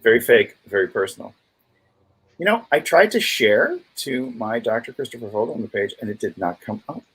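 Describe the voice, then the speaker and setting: Husky-voiced American man chatting casually. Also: mid-range voice, expressive, normal speed, a bit bright, low-quality recording. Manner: wry, jokey, fond of wordplay. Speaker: livestream co-host. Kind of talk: casual chat.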